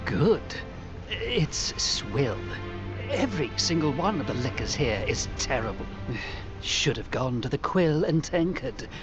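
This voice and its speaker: A man's voice: on the high side, very animated, music in the background. An actor playing a jaded, sour tavern drinker in a video game, grumbling as he talks. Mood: disgusted. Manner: grumbling, unhurried. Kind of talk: video game cutscene acting.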